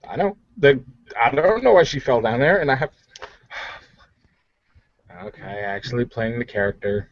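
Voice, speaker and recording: A man's voice: medium pitch, expressive, normal speed, pauses often. A man bantering casually and wryly as a player in a tabletop roleplaying game over online voice chat. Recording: dull, dry lo-fi voice chat.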